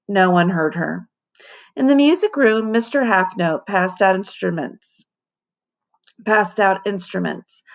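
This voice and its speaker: A woman teacher reading a children's story aloud in an expressive narration, with dramatic emphasis on key words. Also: medium pitch, creaky.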